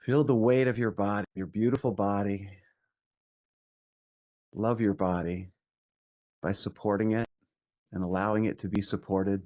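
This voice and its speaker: Guy doing guided meditation, really slow and soothing, dry close sound, kinda muffled recording. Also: fairly low pitch, fairly even intonation, no echo. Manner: soothing, unhurried, gentle instruction with long pauses. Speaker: meditation teacher.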